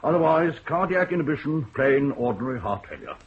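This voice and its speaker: A middle-aged widowed country doctor, proud and a little stubborn, who speaks with measured authority and an insistent hospitality. His voice swings through a lively, wide pitch range. It comes through a muffled old radio broadcast with a faint hiss behind it. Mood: guarded. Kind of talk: 1960s radio drama acting.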